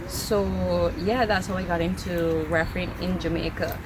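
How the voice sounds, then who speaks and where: A young woman with a Barbadian accent and a fairly low voice for a woman. She talks openly and chattily. Steady background noise sits behind her. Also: measured pace. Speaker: football referee. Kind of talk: interview answer.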